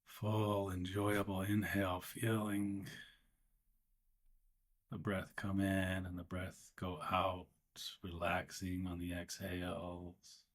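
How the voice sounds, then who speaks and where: Low-voiced man guiding a meditation. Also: completely flat delivery. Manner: gentle, unhurried, pausing mid-sentence. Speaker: meditation guide. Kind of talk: guided meditation.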